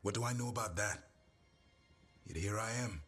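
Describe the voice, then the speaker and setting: Deep, husky warrior, gruff and stoic. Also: male voice, normal speed, fairly bright tone. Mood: reflective, uneasy. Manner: terse, gruff, dryly humorous. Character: gruff male warden warrior, griffin keeper, stoic but caring. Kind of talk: video game cutscene acting. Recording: music behind.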